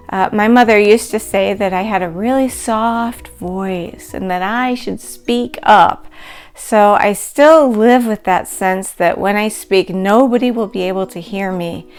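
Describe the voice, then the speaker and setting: A middle-aged woman teaching a recorded lecture, explaining concepts in a clear, measured way. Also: mid-range voice, darkish tone, faint music behind. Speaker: instructor.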